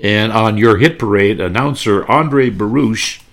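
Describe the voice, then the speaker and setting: A man's voice: on the low side, unhurried, a bit bright. A man narrating nostalgically, with theatrical delivery and impressions of catchphrases. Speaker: narrator reminiscing about old-time radio.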